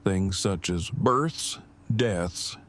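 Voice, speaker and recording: A man acts out an earnest, devoted bridegroom in an audio drama. His voice sits low, and he talks in long, precise, formal sentences at a measured, bookish remove. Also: a bit bright, heavily acted. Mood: formal, thoughtful.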